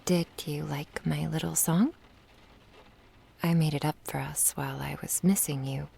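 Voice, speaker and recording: A woman playing an affectionate young witch in an intimate ASMR roleplay, speaking softly, tenderly and playfully, often in a whisper. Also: sits low, steady tone, unhurried, slight background noise, heavily acted. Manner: soft, breathy, tender murmuring with little hums.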